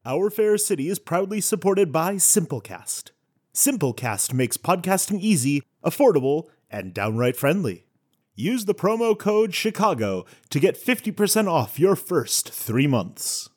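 A man's voice: a little high, big pitch swings, a little slow, a little mellow. A man announcer giving a scripted sponsor read.

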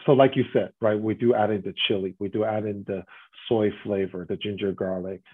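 A man from Taiwan, a restaurant guest answering interview questions at length and often tagging his points with a quick "right?". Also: fairly low pitch, fairly even intonation, breathy edge. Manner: explanatory, often adds "right?" tags. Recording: muffled phone-like line.

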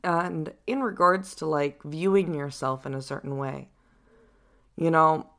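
A young adult woman with a deep voice, hosting a personal reflective podcast in a casual, rambling way with lots of fillers. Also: measured pace, a bit bright. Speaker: podcast host.

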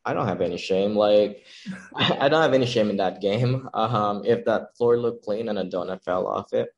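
Young male guest from the Philippines, deep voice, easygoing and chatty, lots of fillers. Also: moderate pace, muffled recording. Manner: relaxed, laughs often, many fillers like "um" and "like". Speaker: chef and pop-up owner, guest. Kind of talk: casual interview chat.

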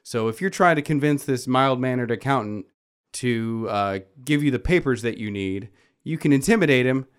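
Male game master, mid-pitched, informal and wry, explaining rules conversationally on a podcast. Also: moderate pace, hardly any pauses, smooth.

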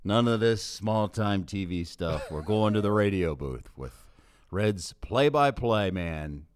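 Male podcast host, low register, very lively inflection, playful needling, casual sports-chat delivery. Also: takes it slowly, clear, resonant tone. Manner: playful, teasing, informal.

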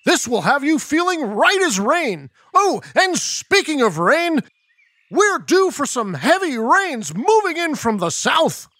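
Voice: high voice, big pitch swings, takes it slowly, pushed and bright, no echo, full character voice. Chet Nickerson, a performer doing a peppy newsman. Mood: newscaster. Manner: announcer-style, turns everything into breaking news. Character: pompous male TV news reporter. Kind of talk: kids podcast comedy sketch.

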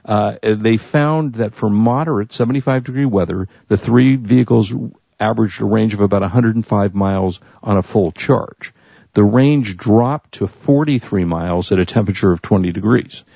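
An American man hosts a casual news chat in a low, mellow voice with plenty of fry. He rambles conversationally from point to point. The sound is dry but dull and lo-fi. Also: moderate pace, no echo. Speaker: talk show host. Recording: dull lo-fi audio.

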